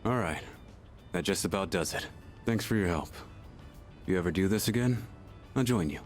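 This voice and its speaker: Low-voiced, terse, composed young guy. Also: animated, moderate pace, faint music behind. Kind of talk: video game voice acting.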